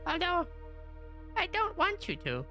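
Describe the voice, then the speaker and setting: Woman voicing a tiny lonely creature: very high, squeaky, gentle, wistful; light music under. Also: wildly swooping pitch, a little slow, exaggerated voice.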